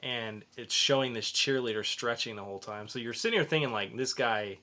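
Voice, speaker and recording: A man's voice: mid-range voice, brisk, bright, forceful tone. A man hosting a podcast, casually reviewing in an informal, rambling way with some swearing. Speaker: podcast host and comic reviewer.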